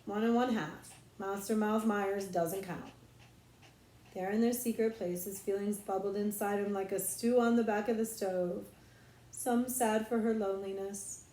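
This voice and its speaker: Expressive woman storyteller, echoey room. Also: medium pitch. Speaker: audiobook reader, librarian. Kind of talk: expressive scripted storybook narration.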